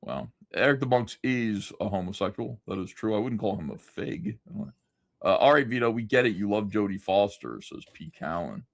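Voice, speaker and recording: Male livestream host reading chat, swearing, rambling, pitch swinging all over, dry close sound. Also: expressive, moderate pace, vocal fry, no room sound. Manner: loose, profane, rambling with many fillers. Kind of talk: casual livestream chat, reading viewer comments.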